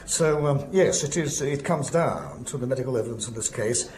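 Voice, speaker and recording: An earnest, determined English lawyer played by a male actor in a radio drama. He is articulate and self-correcting, with lively pitch, and is heard in a roomy space with faint noise. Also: normal speed, lightly acted. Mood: considered, earnest. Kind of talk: naturalistic radio drama acting.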